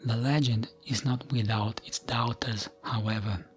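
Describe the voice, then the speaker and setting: A man narrating fantasy lore as soft ASMR, gentle and soothing, with a breathy voice that often nears a whisper. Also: mid-range voice, steady tone, faint music behind. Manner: gentle, soothing, unhurried storytelling.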